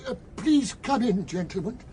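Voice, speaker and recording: An actor playing a deferential household servant in a radio drama, speaking formally and hesitantly with a hoarse voice. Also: male voice, high-pitched, expressive, normal speed, noisy background. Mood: anxious, deferential.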